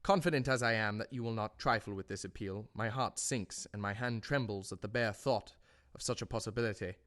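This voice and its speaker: Audio drama role, male actor: anguished gentleman doctor, lowish voice, measured formal appeal, grave and pleading. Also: close to an everyday voice. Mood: grave, anxious. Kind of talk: audio drama letter monologue.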